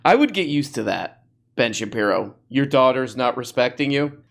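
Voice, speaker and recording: A man's voice: medium pitch, expressive, moderate pace, gravelly, a bit bright. A man hosting a comedy show, mocking clips in a rambling, self-interrupting way while losing his voice. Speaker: host.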